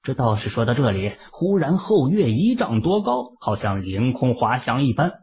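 A male audiobook narrator with a husky, breathy voice who tells the story with lively, rising and falling intonation. The sound is tinny and narrow, like it comes over a phone line. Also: mid-range voice, moderate pace. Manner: expressive, dramatic pacing.

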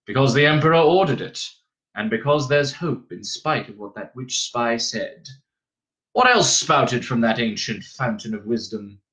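A man voicing a shrewd, gruff old adviser with a probing, wry and confiding manner. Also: medium pitch, animated, measured pace, a bit bright, rough recording.

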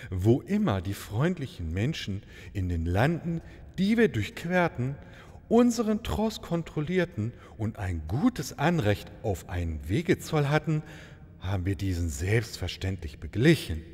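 A man's voice: mid-range voice, big pitch swings, unhurried, a little mellow, slightly rough. A man playing a courteous, dignified travelling maharaja in a fairy-tale audio comedy, speaking in formal, flowery euphemisms. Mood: delicate, embarrassed. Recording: long reverberant hall sound.

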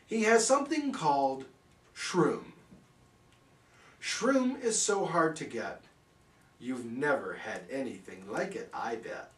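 A man's voice: mid-range voice, lively intonation, takes it slowly, long pauses, fairly bright tone, reverberant, distant mic. A man voicing a sly, boastful, pushy cat from a rhyming storybook, with a showy singsong manner. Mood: showy, urging.

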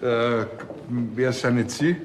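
A man's voice: mid-range voice, fairly even intonation, slow, background noise, natural voice. An actor playing a casual, bossy village policeman with a Bavarian accent. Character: blunt, informal rural Bavarian policeman.

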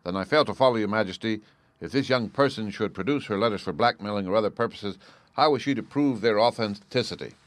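A man voicing a cool, astute gentleman detective in an audiobook, languid and incisive, with a dry, formal manner. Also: lowish voice, fast talker, no room sound, close to an everyday voice. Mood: probing.

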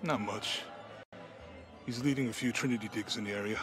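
A man's voice: on the low side, brisk, lightly acted. Easygoing, loyal adventurer's sidekick played by a man. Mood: curious. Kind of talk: naturalistic video game cutscene acting. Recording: clear music behind the voice.

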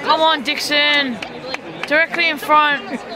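Hoarse teenage boy cheering in a stadium. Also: very high voice, measured pace. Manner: excitable, cheering. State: hoarse, voice lost. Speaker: vlogger. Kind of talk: casual vlog commentary. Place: packed outdoor football stadium. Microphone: handheld camera. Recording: loud crowd noise and music. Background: crowd cheering.

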